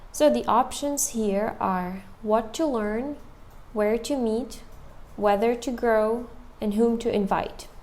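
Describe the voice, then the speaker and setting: A woman walks viewers through listening test answers. Her voice sits a little high, and she repeats each option methodically as she explains it. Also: darkish tone. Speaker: English test prep teacher.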